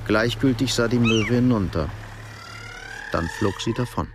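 A man's voice: fairly low pitch, unhurried. A man reading scripted narration for a radio drama. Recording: clear background noise.

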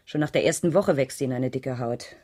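An actress playing a world-weary, defensive woman schoolteacher in a radio crime drama, speaking in a dry, measured, sardonic way. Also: very deep voice, mellow. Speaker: actor in a radio crime play. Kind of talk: 1980s radio drama dialogue.

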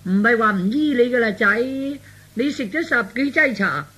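Woman acting a shrill, flustered old father. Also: expressive, moderate pace, bright, forceful tone, husky, heavily acted. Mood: alarmed, lamenting. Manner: agitated, stammering, wailing. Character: flustered, fretful elderly father of a grown son. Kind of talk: Cantonese comic radio drama acting.

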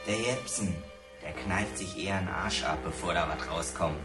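An actor as a low, crude, taunting inmate. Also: male voice, normal speed, distant mic, close to an everyday voice. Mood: mocking. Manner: crude, mocking. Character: coarse, taunting male youth-prison inmate. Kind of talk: radio drama acting. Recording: echoey, off-mic, music underneath.

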